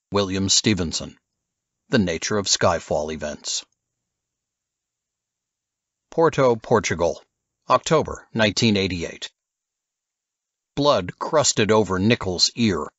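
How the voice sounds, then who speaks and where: Male narrator for fiction: lowish voice, very lively intonation, dry and slightly dull recording. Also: breathy edge, dry recording. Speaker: audiobook narrator.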